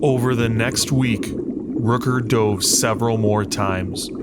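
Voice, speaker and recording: A man narrating a scripted suspense story deliberately, with dramatic pauses. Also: mid-range voice, fairly even intonation, heavy background noise. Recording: prominent music under the voice.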